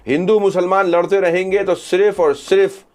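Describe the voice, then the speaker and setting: A man's voice: high voice, fairly even intonation, moderate pace, darkish tone. A man hosting social media news commentary, reporting events and reading out reactions.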